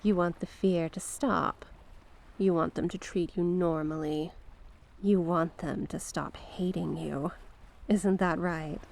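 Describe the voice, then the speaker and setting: A woman playing a mysterious banished sea witch in an ASMR roleplay, coaxing and knowing, with a teasing, deliberate manner. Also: lowish voice, fairly even intonation, vocal fry, a little mellow. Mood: soothing, sly. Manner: slow, teasing, deliberate, coaxing with pet names. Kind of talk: ASMR character roleplay monologue.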